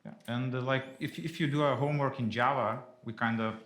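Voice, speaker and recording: A man's voice: medium pitch, steady tone, brisk, a bit bright. A man on a live office panel, answering questions in a loose, hedging way with many fillers. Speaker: engineer on recruitment panel. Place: office event space.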